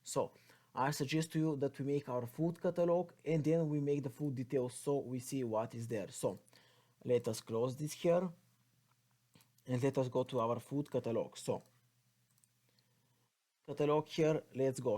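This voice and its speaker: A man's voice: medium pitch, dark tone. A man with a Bulgarian accent gives an unscripted, step-by-step coding walkthrough, thinking aloud as he types and spells out code. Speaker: programming tutorial instructor.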